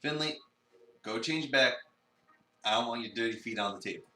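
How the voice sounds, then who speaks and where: A man's voice: medium pitch, normal speed, sharp tone, soft background music, lightly acted. A man playing a bossy but caring male cafe leader in a visual novel reading, with a dry manner. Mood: scolding. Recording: roomy, distant from mic.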